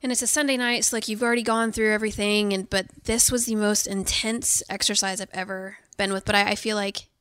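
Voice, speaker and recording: A woman's voice: mid-range voice, steady tone, fairly quick, hardly any pauses, fairly bright tone, clear, resonant tone, dry recording. A woman telling a personal story in a casual podcast conversation, candid and full of fillers.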